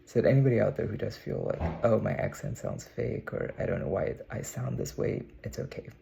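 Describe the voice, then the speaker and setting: A man's voice: on the low side, very animated, brisk, gravelly, dark tone, a little hiss or hum. A young man from India, speaking with a put-on American accent in a casual, meandering vlog monologue to camera. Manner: musing, meandering, with frequent "you know". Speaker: vlogger. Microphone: camera mic, talking to camera.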